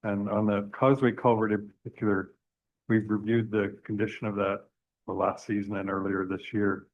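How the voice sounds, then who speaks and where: A man's voice: on the low side, little pitch movement, moderate pace, slight background noise. A man from township staff giving a matter-of-fact technical update to council. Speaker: public works staff. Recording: tinny lo-fi meeting audio.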